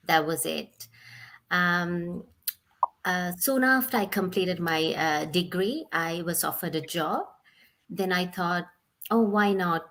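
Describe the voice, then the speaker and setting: Woman, 40s, from Sri Lanka, guest on a video call; low, slow, easygoing storytelling with fillers. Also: a bit bright, a little hiss or hum. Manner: unhurried, many "um"s, laughs often. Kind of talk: casual video call interview.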